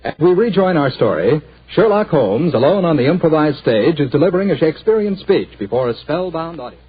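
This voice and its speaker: A man's voice: fairly high pitch, sing-song, brisk, breathy edge. A man with an American accent, announcing on a 1940s radio show in a folksy, salesy, upbeat manner. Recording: muffled 1940s radio broadcast.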